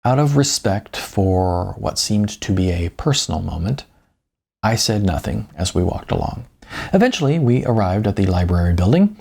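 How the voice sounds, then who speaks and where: A man's voice: low voice, big pitch swings, normal speed, creaky, warm tone, slightly rough. A man reading his own novel as an audiobook in first-person narration.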